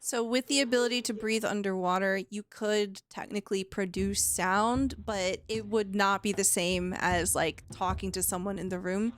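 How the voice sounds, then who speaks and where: A woman narrating a tabletop game as game master, describing scenes in a measured, descriptive way. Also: mid-range voice, fairly even intonation, no echo.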